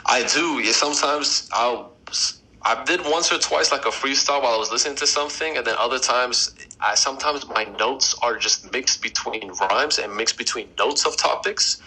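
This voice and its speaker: Bright, husky young man on a video call. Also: mid-range voice, moderate pace, low-quality recording. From United States. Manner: relaxed storytelling, loose fillers. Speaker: guest podcaster and rapper. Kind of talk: casual interview chat. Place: small home room, video call. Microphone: headset over video call.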